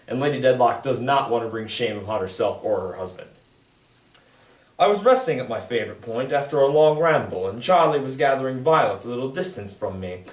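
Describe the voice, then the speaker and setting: A young man reads literary prose aloud in an echoey room, a little away from the microphone. His delivery is earnest and formal, with a lively, expressive range of pitch. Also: distant mic. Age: young adult. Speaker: student reader. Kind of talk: dramatic literary reading, scripted narration.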